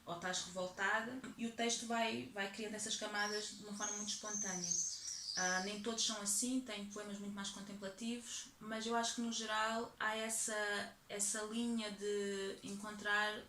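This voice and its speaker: Young portuguese-accented woman talking it through slow and even, flat delivery, echoey room, faint hum behind. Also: mid-range voice. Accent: European Portuguese. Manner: thoughtful, steady, with frequent tag questions. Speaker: poet and activist interviewee. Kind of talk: reflective interview answers.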